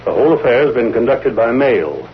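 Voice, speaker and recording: An actor with an American accent playing a firm, formal, evasive broker in a 1930s radio drama. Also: male voice, mid-range voice, sing-song, a little fast, husky. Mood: firm, guarded. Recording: muffled, humming vintage radio recording.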